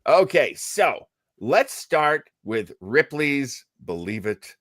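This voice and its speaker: A man hosts a casual radio show with a very animated voice of middling pitch. He rambles and halts as he introduces programs, and the sound is dry, with little room. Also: no room sound. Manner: rambling, halting delivery. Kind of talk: casual chat introducing old radio shows.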